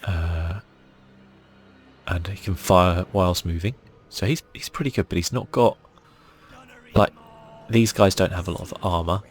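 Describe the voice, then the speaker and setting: Very deep, husky ASMR gamer man. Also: unhurried, long pauses, faint music behind. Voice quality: husky, breathy, near-whispered at times. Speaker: gaming video host. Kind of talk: relaxed ASMR gameplay commentary.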